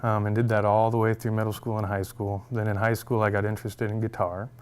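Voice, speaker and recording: A man's voice: lowish voice, level tone, quick pace, a little mellow, clean tone. A young man, a music teacher, answering interview questions in a friendly, upbeat way and laughing easily.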